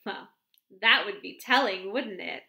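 A woman's voice: a little high, expressive, normal speed, pushed and bright, voice pushed up. Woman doing a gushing, gossipy young secretary. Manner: chatty, breathless, exclamatory. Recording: roomy echo.

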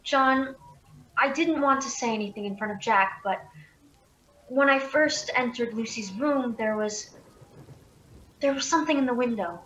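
A woman's voice: on the high side, a little slow, long pauses, a bit bright, muffled recording. A young woman acting as an anxious, caring young woman keeping night watch in a radio play. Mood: uneasy. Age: young adult. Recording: roomy, some background noise.

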